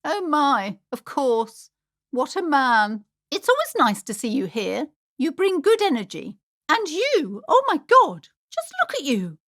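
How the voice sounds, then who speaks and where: A woman's voice: high-pitched, sing-song, measured pace, a bit bright, dry recording, studio quality, heavily acted. An actress playing an anxious, superstitious, preachy woman bookshop owner in a video game, speaking in a theatrical, fretful way. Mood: gushing, inspired. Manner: theatrical, fretful, swinging between gushing and alarm. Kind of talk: dramatic video game character dialogue.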